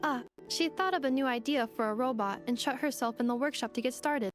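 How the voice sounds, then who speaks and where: A woman voicing a polite, composed female robot assistant in a video game, speaking in a measured, formal, explanatory way. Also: sits high, close to an everyday voice. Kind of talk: anime-style video game voice acting. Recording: faint music behind the voice.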